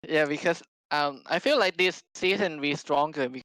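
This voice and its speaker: A man with a smooth, clear voice and a dry, close sound chats casually as a podcast guest. He is a non-native English speaker who builds short, halting, simple phrases. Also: a little high, bright, forceful tone, dry recording, rough recording. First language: not English. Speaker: podcast guest, esports player. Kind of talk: casual chat.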